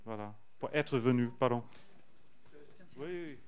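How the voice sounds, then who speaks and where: Guy giving a church intro, clear voice, slow n halting, earnest, repeats himself. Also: mid-range voice, lively intonation, darkish tone. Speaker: church speaker introducing a visiting team. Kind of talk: church testimony address, unscripted.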